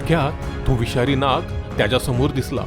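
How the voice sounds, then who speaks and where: A man narrating a scripted children's story in an expressive, dramatic way. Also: medium pitch, a little fast, music in the background.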